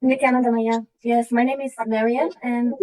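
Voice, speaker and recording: A woman from Iraq, earnestly sharing a personal testimony with halting restarts. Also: on the high side, little pitch movement, a little mellow, far from the mic, rough recording. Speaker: program participant sharing her story.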